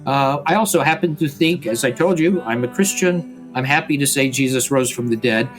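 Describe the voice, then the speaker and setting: An older man, a professor, answering an interview question in a careful, measured way. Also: mid-range voice, rough recording. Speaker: professor and guest scholar. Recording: soft guitar music behind.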